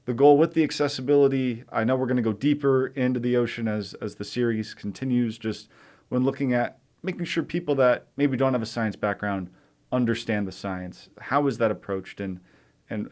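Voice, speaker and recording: A man with a medium-pitched voice conducts a radio interview. He speaks conversationally, building his questions haltingly and doubling back on words as he goes. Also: darkish tone. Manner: halting, repeats words while framing questions. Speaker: radio interviewer, news director.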